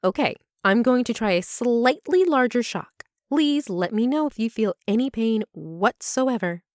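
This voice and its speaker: Woman acting a lively, higher-voiced, firm lab partner. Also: unhurried, no echo, studio quality. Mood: reassuring. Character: reassuring but coolly ruthless female lab partner. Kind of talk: audio fiction character acting.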